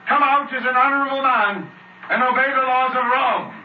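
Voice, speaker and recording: An actor playing a Roman officer who calls out loudly to demand surrender, declaiming in radio drama style. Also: male voice, very high-pitched, normal speed, a little hiss or hum. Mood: commanding. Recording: tinny, echoey, from far off.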